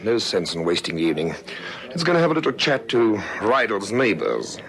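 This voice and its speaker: Low, animated South African police lieutenant. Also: male voice, fairly quick. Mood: calm, businesslike. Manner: clipped, authoritative questioning. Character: steady, probing male police lieutenant. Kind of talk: old radio drama acting. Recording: vintage radio recording, faint noise.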